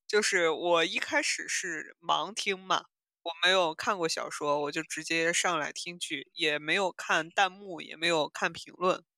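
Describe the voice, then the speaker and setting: A woman hosting a casual podcast chat, animated and giggly, often repeating words as she feels her way through a thought. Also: fairly low pitch, moderate pace, no room sound. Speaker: podcast host.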